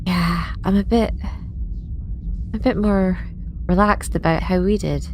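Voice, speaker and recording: A woman's voice: lowish voice, steady tone, a little slow, a little hiss or hum. A woman talking casually as a player in a tabletop role-playing game.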